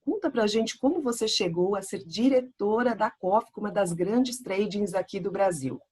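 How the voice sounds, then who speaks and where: A woman from Brazil who hosts an interview and asks her questions in an eager, talkative way. Her voice has a slightly husky edge and sits at a medium pitch. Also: normal speed, darkish tone. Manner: enthusiastic, chatty. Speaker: host. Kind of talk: interview hosting.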